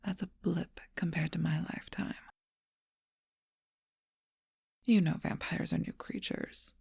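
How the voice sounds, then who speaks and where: Woman doing a vampire girlfriend whispering, low gravelly fry, super breathy, flat and slow, asmr close mic. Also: no room sound, heavily acted. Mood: wistful.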